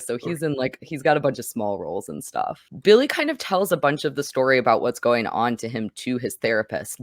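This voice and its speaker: A woman's voice: extremely low pitch, dry recording, clean studio sound. A woman hosting a conversational film review podcast, chatting through a movie discussion.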